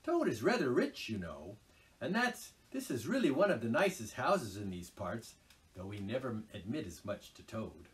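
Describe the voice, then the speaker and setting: A man voicing a good-natured, brisk male water rat. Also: medium pitch, big pitch swings, far from the mic, natural voice. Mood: hearty. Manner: brisk, hearty, chatty.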